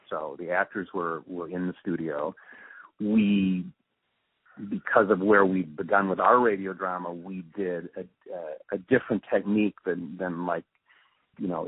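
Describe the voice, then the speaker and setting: A man being interviewed, giving reflective, halting answers as he thinks aloud through technical explanations. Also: low-pitched, slightly rough, no echo. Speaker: interviewee, radio drama post-production supervisor. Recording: muffled narrow phone-like line.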